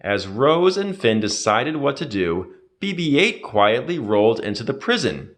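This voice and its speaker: A man reading a children's adventure story aloud in an expressive, dramatic storytelling manner. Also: medium pitch, a little slow. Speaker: read-aloud narrator.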